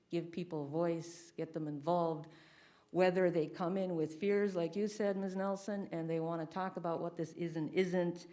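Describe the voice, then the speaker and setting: A woman's voice: lowish voice, a little mellow. A woman chairing a school board meeting, her voice muffled by a face mask as she speaks emphatically about community.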